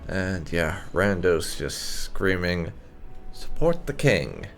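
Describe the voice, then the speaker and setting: A man hosting a game playthrough in a casual, sardonic way. His voice is low and gravelly, unhurried, with music faintly behind. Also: sing-song. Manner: wry, sardonic. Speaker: gaming video host. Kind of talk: casual roleplay game commentary.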